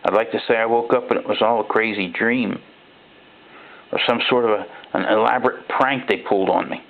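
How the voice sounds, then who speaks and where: A man voicing a frightened ordinary guy telling his own horror story, halting and rattled, with a gravelly voice. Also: lowish voice, steady tone. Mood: resigned warning. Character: frightened ordinary man recounting robot replacements. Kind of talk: first-person dramatic horror narration. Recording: thin, phone-like narrow-band sound.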